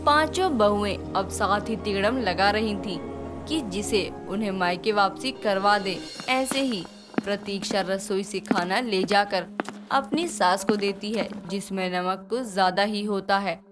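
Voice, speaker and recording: A woman's voice: mid-range voice, a little slow. A woman reading scripted narration as the storyteller of a family tale. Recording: music behind the voice.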